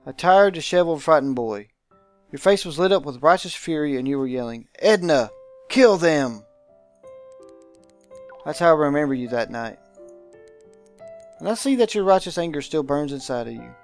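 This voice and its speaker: A man voicing a visual novel's aristocratic guardian, pompous, dramatic and deliberate, with menacing affection. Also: fairly high pitch, lively intonation, faint music behind. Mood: grandiose joy.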